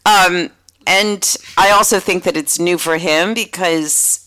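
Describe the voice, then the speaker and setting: Low-voiced chatty woman guest. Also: slow, bright, forceful tone. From United States. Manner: chatty, many "like" asides, acts out quotes. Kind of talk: casual conversation.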